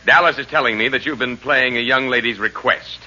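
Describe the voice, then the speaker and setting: An actor playing a crooked, commanding American senator in 1940s radio style, speaking formally and in clipped phrases. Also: male voice, mid-range voice, brisk, sharp tone. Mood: cold, controlled. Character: crooked, blustering senator and crime boss. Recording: muffled, noisy 1940s radio recording.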